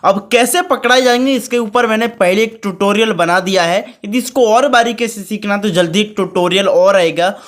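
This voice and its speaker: A man's voice: high-pitched, a bit bright. A man hosting a how-to tutorial, talking chummily to viewers as friends while narrating his screen. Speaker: tutorial channel host. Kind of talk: screen-recorded tutorial commentary.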